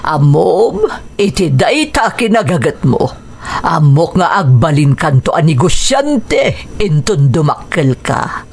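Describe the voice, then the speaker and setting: A woman with a Filipino accent playing a polite, approving visiting businesswoman with a husky voice in an Ilocano radio drama. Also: low voice, sing-song, takes it slowly, a little mellow, full character voice. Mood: friendly, approving.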